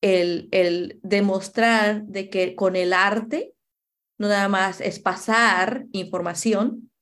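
Woman, podcast interviewer, slightly low pitch, very unhurried pace, affirming, emphatic repetition. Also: steady tone, a bit bright. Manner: affirming, repeats phrases for emphasis. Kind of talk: conversational interview.

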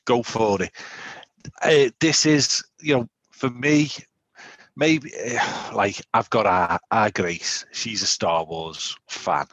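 A man in his forties, co-hosting a podcast in casual chat, rambling and animated with lots of restarts. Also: fairly low pitch, slow, dry recording. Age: 40s. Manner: rambling, animated, self-interrupting. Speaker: podcast co-host.

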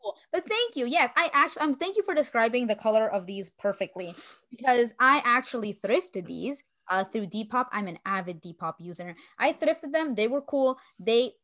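A woman's voice: a little high, lively intonation, normal speed, a bit bright. A young woman co-hosting a podcast, agreeable and giggly, chatting casually. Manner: agreeable, giggly, lots of backchannel. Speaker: podcast co-host.

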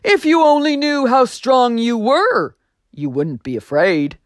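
A gentle, loving creator speaks on the wind in a calm, reassuring storybook voice, as a woman puts it on. The delivery is slow and tender with a lively rise and fall. The recording is dry and close. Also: fairly high pitch, dry recording, pitched above the performer's own voice. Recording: dry close studio sound.

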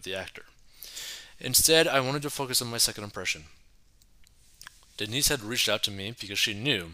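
A man reading an article aloud at a steady, measured pace, then turning to casual commentary. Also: lowish voice, no echo.

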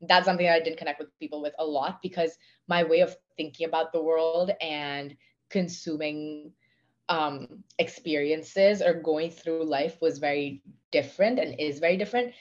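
Lower-pitched young woman with an Indian accent. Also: normal speed. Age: 20s. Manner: reflective, talks in long winding sentences with fillers. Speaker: guest. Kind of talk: casual conversation. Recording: dull lo-fi audio.

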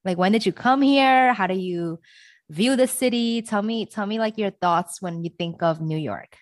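A woman's voice: medium pitch, moderate pace, no echo, clean studio sound. A young woman hosting a podcast, chatty and bubbly, laughing often and rambling through her questions. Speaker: podcast host. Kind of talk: casual interview chat.

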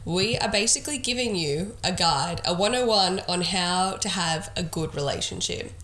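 A woman's voice: lowish voice, animated, moderate pace, fairly bright tone. A young woman in her twenties, hosting a casual podcast chat in a bubbly, giggly way. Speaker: podcast host. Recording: roomy echo, faint background noise.

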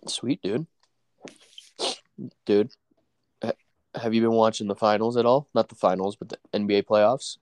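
A man's voice: on the low side, fairly even intonation, moderate pace, long pauses, a little mellow, dry recording. A young man with a stuffy nose from allergies, chatting casually about work and sports, saying "dude" and "bro" a lot. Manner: laid-back, says "dude" and "bro" a lot.

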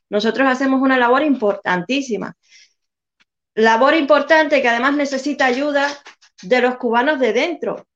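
A young woman from Cuba with a Spanish accent speaks with impassioned conviction, driving her points home through repetition. Her voice sits a little high. The audio is dull and lo-fi. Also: a bit bright. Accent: Spain Spanish. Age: young adult. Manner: impassioned, repeats phrases for emphasis. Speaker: guest, civic group representative. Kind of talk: earnest advocacy in a live chat.

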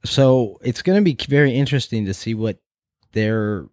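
Man, podcast co-host, middle pitch, casual chat, teasing, dry close sound. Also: lively intonation, moderate pace, a little mellow, no room sound. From New York City.

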